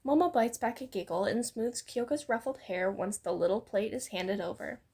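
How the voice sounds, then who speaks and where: A woman's voice: a little high, moderate pace, a little mellow, breathy edge. A woman co-narrating fan fiction in an informal, playful storytelling read. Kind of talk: expressive fan fiction reading.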